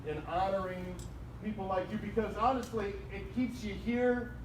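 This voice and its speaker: A man in his forties emceeing an awards event, working the crowd with loud jokes in a big booming voice. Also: steady tone, normal speed, distant mic. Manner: loud, joking crowd work. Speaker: radio host acting as emcee. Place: large indoor event room. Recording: echoey event hall, mic from afar, noisy.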